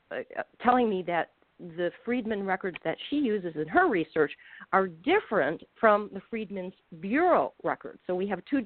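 A middle-aged woman from north of Chicago hosts a radio talk show, chatting casually with plenty of fillers and restarts. Her voice sits a little low and comes through a tinny phone line. The sound is dry, with no room around it. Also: no room sound. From north of Chicago, USA.

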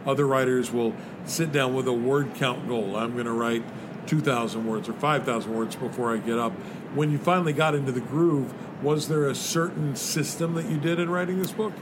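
Give me a man hosting a chat, asking long easygoing questions over clear street noise. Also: medium pitch, moderate pace. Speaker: podcast host. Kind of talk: interview conversation. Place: noisy spot near traffic. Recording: background traffic noise.

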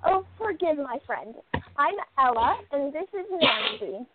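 High-voiced headstrong young-sounding princess played by an actress, phone-thin. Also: female voice, sing-song, a little slow, phone-quality sound, very noisy. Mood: assured. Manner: confident, insistent. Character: curious, headstrong exiled princess playing amateur sleuth. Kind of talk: radio play acting.

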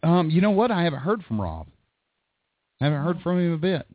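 A man's voice: a little high, sing-song, brisk, no echo. Chatty male radio host on a tinny line. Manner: chatty, laughs often, frequent ums. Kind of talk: casual call-in radio talk. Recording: dry, tinny phone-like line.

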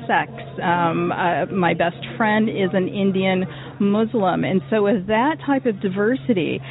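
A woman's voice: medium pitch, measured pace, crowd noise. Woman host asking questions over phone-like audio. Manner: asks long, searching questions with some hesitations. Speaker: radio host. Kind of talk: interview questions. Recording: phone-like narrow band.

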